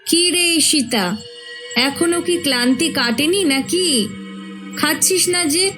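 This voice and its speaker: A woman plays a caring village mother in a horror cartoon. Her voice is high and she speaks slowly, fussing and worrying. Soft music runs behind her. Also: lively intonation, exaggerated voice. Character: caring, worried village mother of grown children.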